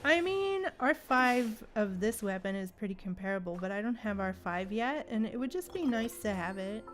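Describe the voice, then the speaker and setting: A woman's voice: mid-range voice, expressive, moderate pace, soft background music. A woman hosting a casual gaming livestream, chatting with viewers and thinking aloud in a playful, conversational way. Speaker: livestream host.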